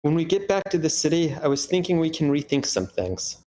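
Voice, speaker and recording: A man's voice: fairly high pitch, big pitch swings, fairly quick, mellow, low-quality recording. A man playing an earnest, placating husband in a screenplay table read. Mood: tender, hopeful. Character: earnest, placating husband hiking with his wife. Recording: background noise on a live meeting.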